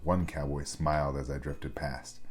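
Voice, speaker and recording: A man narrating a children's bedtime story as a reader, with expressive, dramatic storytelling. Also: rumbling low, measured pace.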